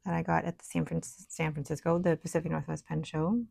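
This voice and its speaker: A woman with a low, gravelly and scratchy voice talks through her desk work in a relaxed, chatty way, thinking aloud as she goes. The sound is dry and close, with no room around it. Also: fairly quick, no room sound. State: scratchy, hoarse voice. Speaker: stationery vlogger. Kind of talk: casual show-and-tell while writing and painting.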